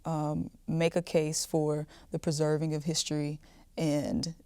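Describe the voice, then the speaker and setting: A woman's voice: deep voice, little pitch movement, unhurried, mellow, clear, resonant tone, no echo. A woman hosting a podcast, interviewing guests in a conversational style. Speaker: podcast host.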